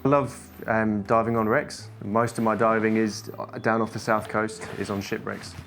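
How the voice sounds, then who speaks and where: A man who dives on wrecks, giving relaxed, casual interview answers with fillers. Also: lowish voice, normal speed, creaky, faint music behind. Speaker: wreck diver.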